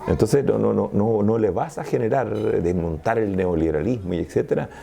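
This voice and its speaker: A Chilean man with a low, gravelly voice and a mellow, dark tone. He talks through ideas in a discursive, analytical way, often breaking off and restarting mid-sentence. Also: normal speed. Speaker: sociologist guest. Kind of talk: interview conversation.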